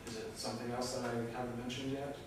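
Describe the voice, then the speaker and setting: A man's voice: medium pitch, flat delivery, fast. A man leading an informal classroom discussion, asking questions and joking about his own limits. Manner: informal, self-deprecating, asks many questions. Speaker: class instructor. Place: lecture classroom. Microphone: far room mic. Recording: echoey classroom from far off, noisy.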